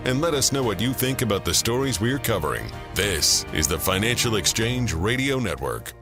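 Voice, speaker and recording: A man's voice: lowish voice, very animated, normal speed, music in the background. A man reading a scripted station promo in a polished announcer's delivery.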